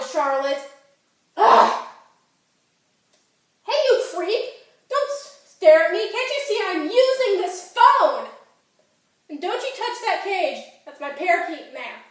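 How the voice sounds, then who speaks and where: In a very echoey room, away from the mic, a woman plays an angry, wounded teenage girl. The voice is high, sarcastic and exasperated. The delivery is melodramatic stage monologue. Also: natural voice. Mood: indignant, exasperated. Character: angry, wounded fifteen-year-old girl performance artist. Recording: echoey room, distant microphone.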